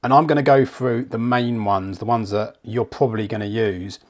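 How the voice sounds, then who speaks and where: A man with a croaky, flu-worn voice gives a chatty, steady step-by-step walkthrough as a hifi reviewer. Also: medium pitch, normal speed. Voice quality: gravelly. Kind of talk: screen walkthrough tutorial. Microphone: voice-over.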